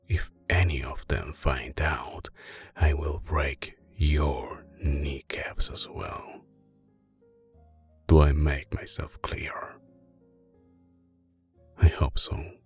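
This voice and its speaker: Mafia boss played by a man for ASMR roleplay: Italian accent, very low register, unhurried and intimate, quietly menacing, devoted to his lover. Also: fairly even intonation, heavily acted. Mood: stern, disappointed. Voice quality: deep, creaky. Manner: slow, deliberate, controlled, intimate. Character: soft-spoken, menacing, doting male mafia boss boyfriend. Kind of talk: ASMR roleplay, soft spoken with whispering. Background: music.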